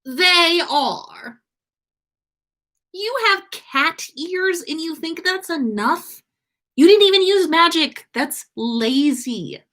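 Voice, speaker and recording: A woman's voice: very high-pitched, animated, slow, fairly bright tone, voice pushed up, full character voice. A woman voicing a haughty, irritable young wizard man in a story, snooty and exasperated. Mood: scornful, smug.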